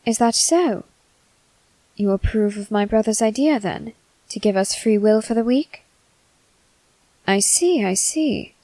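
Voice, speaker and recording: A woman voicing a male demon servant in a scripted ASMR roleplay, speaking formally and courteously with soft, flirtatious teasing. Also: mid-range voice, expressive, unhurried, pauses often, no echo, rough recording. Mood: courteous, composed.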